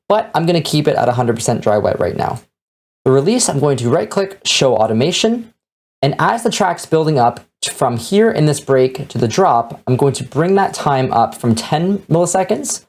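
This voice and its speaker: A man presents a casual how-to lesson on music production. His voice sits in a middle register and moves up and down a lot, giving the step-by-step narration an animated contour. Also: medium pitch. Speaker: music production tutor and channel host. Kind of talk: casual screen-recorded tutorial narration.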